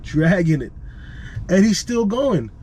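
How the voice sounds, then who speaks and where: A man's voice: sits high, sing-song, slow pace, darkish tone, a little hiss or hum. A man who vlogs about truck driving, telling a story casually, repeating phrases for emphasis, recorded on an action camera microphone. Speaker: vlogger, truck driver.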